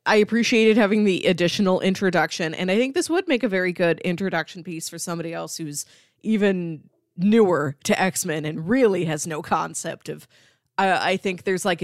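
A woman's voice: fairly low pitch, no echo, studio quality. A woman co-hosting a podcast, chatting casually and informally as she gives her opinions. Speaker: podcast co-host. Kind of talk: casual chat, informal review.

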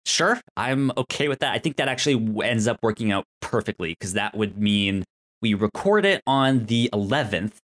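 A man co-hosting a podcast in casual chat, halting as he talks and often stammering and repeating syllables. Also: fairly low pitch, lively intonation, a bit bright. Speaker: podcast co-host.

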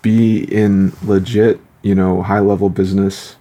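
Guy hosting a podcast, low dark relaxed voice, casual questions. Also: unhurried. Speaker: podcast host. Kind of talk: casual interview.

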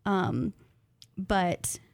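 A woman speaking as a guest writer in a casual interview, thoughtful and self-deprecating, quick to laugh. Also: fairly low pitch, expressive, gravelly, no room sound, clean studio sound. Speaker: guest writer, graduate student of creative nonfiction.

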